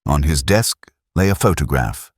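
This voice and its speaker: A man performs as a melancholy male detective telling his case in the first person. The voice is extremely low, with lively rises and falls in pitch. The delivery is measured, reflective and literary, like a scripted mystery drama, in a dry recording. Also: breathy edge, dry recording, heavily acted. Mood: brooding, watchful.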